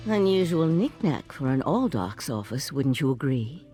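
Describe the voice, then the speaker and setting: Casting: a sly, inquisitive female game investigator, measured and wry, lively intonation over music. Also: extremely low pitch. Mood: wry, purposeful. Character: shrewd, secretive investigator, calm and curious. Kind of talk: video game character dialogue.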